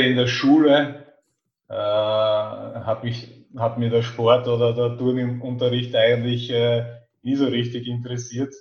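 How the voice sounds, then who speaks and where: A man in his fifties with an Austrian accent, talking casually and thoughtfully as an interview guest. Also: mid-range voice. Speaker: podcast guest. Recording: dull, echoey remote-call sound.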